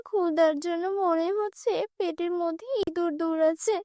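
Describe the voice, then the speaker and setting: A humble young cartoon heroine, played by a woman, with a very high voice and a dry, close sound. She speaks earnestly and pleadingly, like a good-hearted girl who is put upon. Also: fairly even intonation, normal speed, dark tone, no echo. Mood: distressed. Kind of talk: children's fairy-tale cartoon dub.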